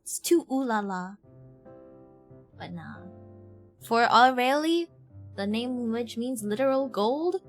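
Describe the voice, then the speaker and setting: A woman streamer talks casually over her game. Her voice is somewhat high, with a playful, expressive delivery. Faint game music runs behind her. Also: slow, pauses often. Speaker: live streamer.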